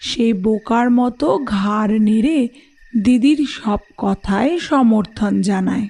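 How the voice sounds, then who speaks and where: A woman's voice: medium pitch, slow pace, dark tone. A woman narrating a scripted children's story.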